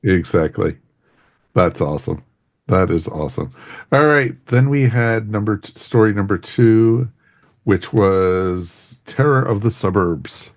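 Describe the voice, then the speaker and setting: Low, creaky-voiced man hosting a chat. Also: expressive, unhurried, no echo, studio quality. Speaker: podcast host. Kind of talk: casual review chat.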